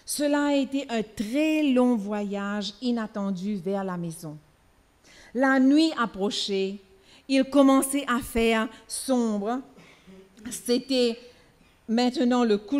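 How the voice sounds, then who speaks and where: Slow-reading woman preacher. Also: a little high, darkish tone. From Mauritius. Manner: slow careful reading with hesitations and restarts. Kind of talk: reading a sermon aloud. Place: church.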